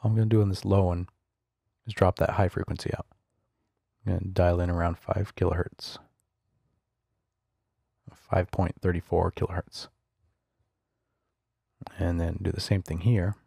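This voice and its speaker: A man narrating a screencast software tutorial in a casual, explanatory way, close to a studio microphone. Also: deep voice, level tone, unhurried, vocal fry, long pauses, husky, dry recording. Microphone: close studio voice-over microphone.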